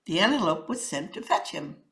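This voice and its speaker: A woman reading a children's story aloud with dramatic, deliberate narration. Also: lowish voice, sing-song, a bit bright.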